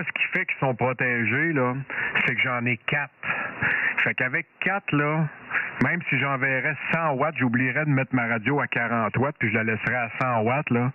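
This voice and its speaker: A thin, narrow-band radio signal carries a man with a Quebec French accent. His pitch sits in the middle, and he chats in a colloquial, matter-of-fact way like a ham operator. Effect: radio transmission. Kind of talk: casual technical chat over ham radio.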